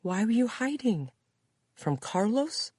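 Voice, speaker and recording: A woman's voice: a little high, sing-song, takes it slowly, darkish tone, husky, no room sound, studio quality, voice pushed up, full character voice. A woman reading an audiobook voices a younger woman who is uneasy, polite and guarded as she reassures and questions others. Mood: incredulous.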